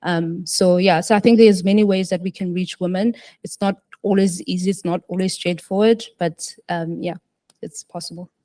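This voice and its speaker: Panelist, female, low flat delivery, halting with lots of fillers. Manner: hesitant, many fillers and restarts. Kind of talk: panel discussion answer.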